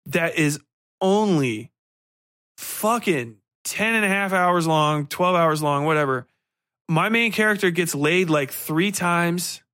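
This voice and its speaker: A man's voice: fairly high pitch, fairly bright tone, dry recording, studio quality. A man hosting a book podcast, rambling through a casual review in blunt, irreverent, profanity-heavy slang. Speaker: podcast host reviewing books.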